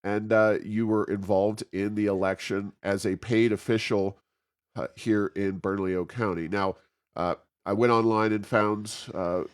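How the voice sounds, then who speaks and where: An American man hosting a conversational interview, speaking haltingly as he searches for his words. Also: lowish voice, little pitch movement. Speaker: podcast host and think tank president.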